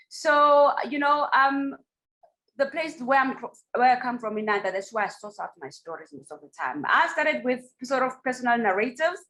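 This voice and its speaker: A woman with a South African accent, a documentary director, answering in a reflective way with many pauses and fillers. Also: on the high side, a bit bright, poor audio. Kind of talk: online festival Q&A.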